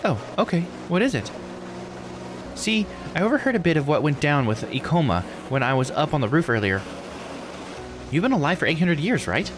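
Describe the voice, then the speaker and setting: A man's voice: on the high side, voice pushed up. A man voicing earnest, anxious young friends in a visual novel, with an emotive delivery. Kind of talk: character dialogue read aloud.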